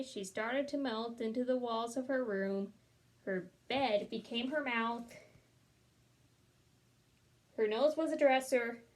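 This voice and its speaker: High-voiced woman reading a storybook. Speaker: storybook reader. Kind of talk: expressive children's read-aloud with chatty asides.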